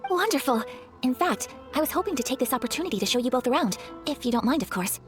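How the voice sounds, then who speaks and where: A woman's voice: high-pitched, lively intonation, fast talker. A woman playing a refined, dutiful young noblewoman in an anime game, speaking formally and earnestly with careful politeness. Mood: pleased. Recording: background music under the voice.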